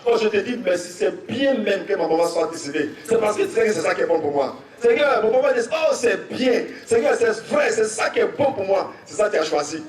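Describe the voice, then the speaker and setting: A young man with an Ivorian French accent preaching a testimony sermon, telling his story and rising to shouts with repeated phrases. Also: high-pitched, lively intonation, a little fast, hardly any pauses. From Côte d'Ivoire. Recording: echoey, from far off, faint music.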